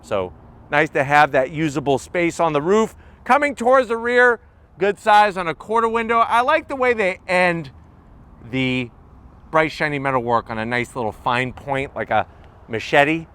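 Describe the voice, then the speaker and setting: A man's voice: on the high side, animated, normal speed, a bit bright, clear, resonant tone. A man hosting a walkaround car review outdoors, talking to camera in a punchy, colloquial way with rhetorical questions and catchphrases. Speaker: car review video host.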